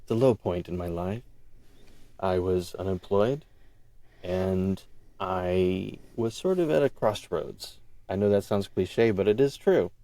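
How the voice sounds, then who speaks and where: A man being interviewed as a podcast guest, telling his own story casually and haltingly, thinking aloud and quoting his own thoughts. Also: sits low, warm tone, no echo. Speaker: audio drama creator and writer.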